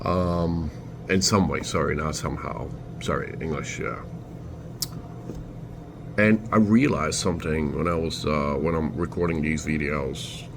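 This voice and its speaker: A young man from Turkey with a Turkish accent, telling a story in his vlog in halting, self-correcting speech. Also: very deep voice. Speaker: vlogger. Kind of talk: casual storytelling. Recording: noisy background ambience.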